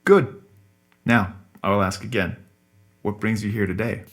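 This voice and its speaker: A man playing a calm, courteous psychotherapist in an audio drama, talking in a measured, formal, explanatory way. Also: fairly low pitch. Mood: explanatory, reassuring. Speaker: actor in a scripted podcast drama. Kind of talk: naturalistic audio drama acting.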